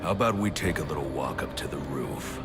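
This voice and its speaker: An actor playing a grim, brooding masked vigilante in a video game, terse and intimidating, with a gravelly voice. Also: male voice, a bass voice, expressive, a little fast. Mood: menacing, stern. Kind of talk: dramatic video game acting. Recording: loud cinematic music underneath.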